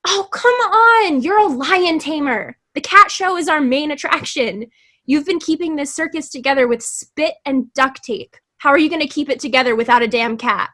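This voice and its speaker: An actress plays a circus performer with a high voice in a play reading. She is pushy and pragmatic, speaking casually and pressing her case with eager insistence. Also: moderate pace, bright, forceful tone. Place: remote, on a video call.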